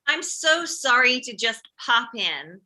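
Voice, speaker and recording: A woman's voice: fairly high pitch, very animated, slow, pushed and bright, heavily acted. A woman playing an anxious, overprotective middle-aged mother with a combative streak, in a comedy script read. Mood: anxious, prying.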